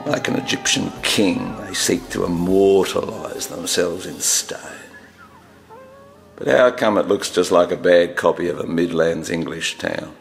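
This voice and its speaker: Man as a deep gravelly hippie prophet sneering at the city, radio play. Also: steady tone, unhurried. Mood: scornful. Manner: scornful, declaiming. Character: Brownman, older male hippie sage, scornful preacher. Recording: music bed under voice.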